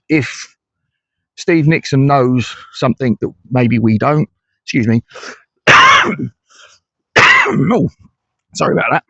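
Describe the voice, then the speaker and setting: A man's voice: medium pitch, a bit bright, no room sound. A middle-aged English man talks bluntly through his views in solo fan commentary, often stopping to restart a thought. The recording is dry with no room sound, and it comes across slightly dull and lo-fi. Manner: blunt, conversational, hesitant restarts. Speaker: fan channel host.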